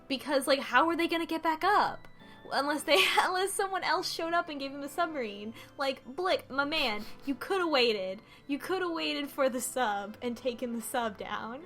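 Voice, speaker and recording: A woman's voice: high-pitched, expressive, normal speed, fairly bright tone. A woman casually recapping a game's story among friends, exasperated and mimicking lines as she goes. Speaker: book club podcast panelist. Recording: music playing behind the voice.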